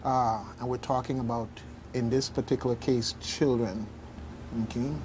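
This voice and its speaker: Guy hosting a serious community radio talk, kinda halting but emphatic, bit of background noise. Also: medium pitch, level tone. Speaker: radio talk show host.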